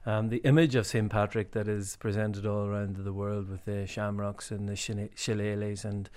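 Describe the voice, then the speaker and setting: A man from Derry with a Northern Irish accent, an archbishop speaking thoughtfully with frequent pauses and fillers. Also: on the low side, level tone, darkish tone. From Derry, Northern Ireland. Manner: thoughtful, hesitant with many fillers. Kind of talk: conversational joint address.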